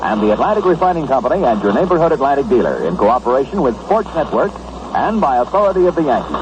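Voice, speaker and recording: A man's voice: mid-range voice, very animated, quick pace. A man reading scripted sponsor copy as a 1960s radio sports announcer, with a polished promotional delivery. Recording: very noisy vintage radio broadcast.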